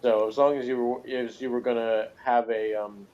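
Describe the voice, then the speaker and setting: A man's voice: mid-range voice, little pitch movement, normal speed, mellow, lo-fi sound. A man chatting casually as a reviewer, rambling and jokey, with pop-culture asides. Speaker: podcast reviewer.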